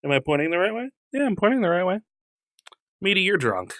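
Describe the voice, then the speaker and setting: A man's voice: high voice, very animated, fast, a bit bright, dry recording. Joking man co-hosting a podcast, dry mic. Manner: joking, laughs often, teasing. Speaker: podcast co-host. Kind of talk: casual chat. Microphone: studio mic through a mixer.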